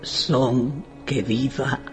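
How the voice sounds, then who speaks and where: An old widow from a radio play, commanding and reclusive. She speaks extremely slowly and deliberately, with music behind her. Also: very deep voice, fairly even intonation, heavily acted. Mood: imperious. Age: older. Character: frail elderly widow, reclusive, imperious and insistent. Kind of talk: radio drama acting.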